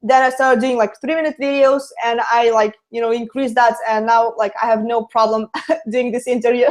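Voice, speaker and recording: A woman's voice: fairly high pitch, flat delivery, normal speed. A young woman with a Russian accent, chatting as a guest in a live interview and filling her talk with "like" and "you know". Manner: chatty, lots of fillers.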